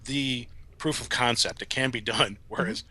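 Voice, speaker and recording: A man's voice: mid-range voice, animated, moderate pace, pushed and bright, husky, dry recording. A middle-aged man speaking as a podcast guest in casual, reflective conversation.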